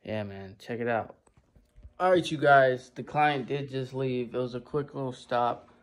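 A man in his twenties vlogging casually to a phone at arm's length while he works in a basement print shop, talking in a hurried, chatty way. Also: medium pitch. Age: 20s. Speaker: screen printing business owner. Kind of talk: casual vlog to camera.

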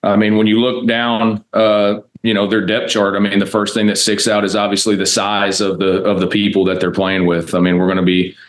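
American male coach, 30s, low register, very flat delivery, press-conference answers, measured and matter-of-fact. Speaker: college football offensive coordinator. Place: indoor press room, seated at a table before a backdrop.

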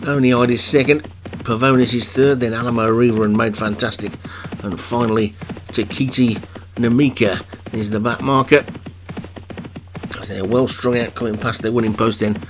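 A man commentating a video game horse race for an online league, chatting between calls, his voice getting croaky. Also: mid-range voice, steady tone, normal speed, noisy background.

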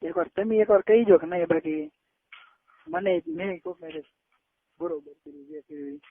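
Tinny phone call, high-pitched insistent young man. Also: big pitch swings, moderate pace, vocal fry, background noise. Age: early 20s. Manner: insistent, coaxing. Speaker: caller. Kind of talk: casual private phone chat. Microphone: telephone.

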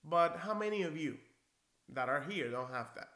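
A man's voice: fairly high pitch, expressive, normal speed, a bit bright, roomy sound. A man in his forties from Cuba, an instructor answering viewer questions on a live stream in a friendly, encouraging way, seated at a desk in a small office. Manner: friendly, encouraging, conversational with restarts. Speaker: NCLEX prep instructor. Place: small indoor office, seated in leather chair.

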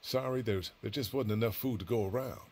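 An actor playing a calm, conscientious survivor in a zombie video game, speaking in a measured, earnest way. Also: male voice, lowish voice, husky. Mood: steady, sincere.

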